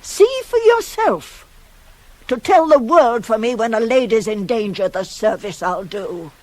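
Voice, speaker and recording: A woman's voice: on the high side, lively intonation, darkish tone. An actress playing a devoted, worldly-wise older nurse to a queen in a radio Greek tragedy, theatrical and insistent. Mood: determined, coaxing. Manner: theatrical, insistent, measured, weighty phrasing. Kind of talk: 1980s radio drama acting of Greek tragedy.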